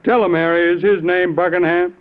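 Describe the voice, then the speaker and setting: Through a narrow, tinny old radio recording comes an actor as a plain-spoken, bemused man. His voice sits a little high, and he talks in a colloquial, matter-of-fact way. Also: fairly even intonation, a little fast, natural voice. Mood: puzzled, amused. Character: puzzled, plain-spoken man humoring a confused stranger. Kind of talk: old-time radio drama acting.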